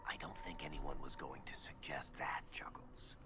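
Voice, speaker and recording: A man playing a gruff, streetwise, protective adventurer in a video game, blunt and plain-spoken. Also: rumbling low, normal speed, in a whisper, over loud music. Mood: wry.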